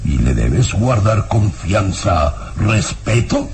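An actor playing a stern, quick-tempered baron and father in a melodramatic radio serial. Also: sits low, big pitch swings, creaky, noisy background, heavily acted. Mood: stern, indignant. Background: dramatic music.

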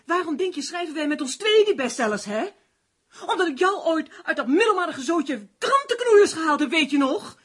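An actress in a radio drama playing a tense, sharp-tongued crime novelist who is haughty and demanding. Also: female voice, extremely high pitch, big pitch swings, normal speed. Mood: furious.

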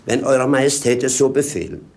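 A rambling fool showman, 1950s radio play, creaky and swoopy, very theatrical. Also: medium pitch, animated, a little fast, a little mellow. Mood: mock anxious.

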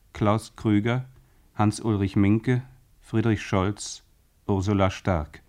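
A man's voice: on the low side, a little mellow. A man reading scripted credits as a 1970s broadcast announcer.

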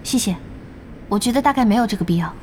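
A woman acting a self-assured young gamer in an audio drama, calm, polite and matter-of-fact. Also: medium pitch, quick pace, slight background noise, close to an everyday voice. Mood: contented, polite.

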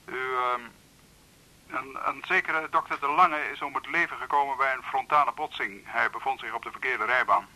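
An actor playing a formal, measured police officer bearing bad news in a radio drama, heard through a telephone. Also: male voice, medium pitch, sharp tone, rough recording. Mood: formal, grave.

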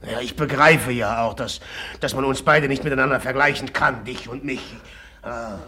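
A man's voice: medium pitch, normal speed, pushed and bright, breathy edge. An actor playing a jealous, tormented young man in a 1950s German radio drama, brooding and bitter with sudden agitated outbursts. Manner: brooding, swings from whispering bitterness to bursts of agitation.

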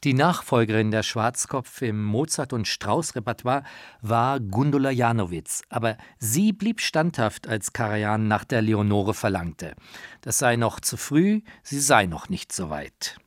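A man's voice: on the low side, lively intonation, a little slow, dry recording, clean studio sound. A man reading scripted narration for a radio feature as its narrator.

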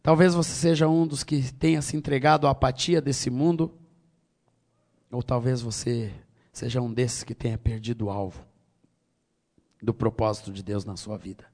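A middle-aged Brazilian man with a Brazilian Portuguese accent preaching a sermon, swinging from loud high exhortation to quiet low tones. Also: fairly even intonation, moderate pace. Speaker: pastor.

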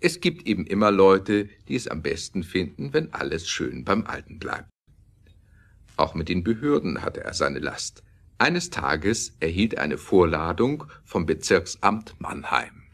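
A man narrating an audio drama with scripted, expressive storytelling delivery. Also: sits low, a little slow.

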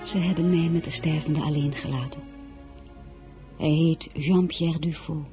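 A woman's voice: low-pitched, steady tone, measured pace, mellow, faint music behind. An actress playing a sorrowful young woman narrating her own life in a dramatic radio play. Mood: grave, recounting. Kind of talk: dramatic first-person narration.

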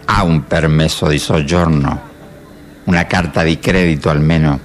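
A man's voice: very deep voice, normal speed, soft background music, voice pushed down. A man playing a bored, curt immigration officer in a radio play. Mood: curt, indifferent.

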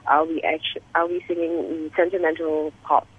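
A woman, a singer-songwriter guest talking on a radio interview by phone, halting and laughing often. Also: sits low, little pitch movement, slightly rough. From Singapore. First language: English. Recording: muffled phone line.

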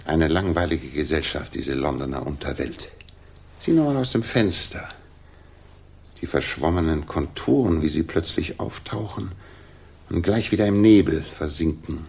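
Casting: sharp-minded Victorian detective, restless and theatrical, deep voice with rough grain. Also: male voice, expressive, moderate pace, exaggerated voice. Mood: bored, restless. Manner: theatrical, incisive, articulate. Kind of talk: 1960s radio drama acting.

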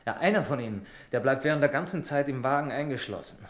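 A man's voice: medium pitch, expressive, quick pace, close to an everyday voice. A man acting in a German radio play as a friendly, talkative young bank employee who eagerly explains things. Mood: explaining. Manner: chatty, eager explainer, chuckling easily. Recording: echoey room.

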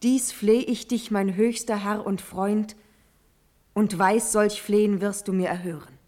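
A woman playing a devoted, brave young noblewoman of classical verse drama. She declaims slowly and solemnly in a medium-pitched voice, pleading with measured dignity. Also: fairly even intonation, dark tone, close to an everyday voice. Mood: imploring.

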